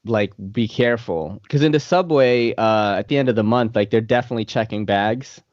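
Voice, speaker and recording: A man's voice: medium pitch, brisk, darkish tone, no room sound, highs cut off. A man in his late thirties hosting a casual podcast, laid-back, laughing often and filling his talk with "like" and "man". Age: 30s. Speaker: podcast host. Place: New York City.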